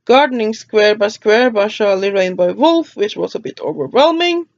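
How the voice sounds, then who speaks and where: A woman with a Norwegian accent talks through a book's contents in a relaxed, halting way, often pausing and tripping over names. Her mid-pitched voice is recorded dry and close. The sound is slightly muffled and dull. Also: dry recording. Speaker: book reviewer. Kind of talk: casual book review, reading a table of contents.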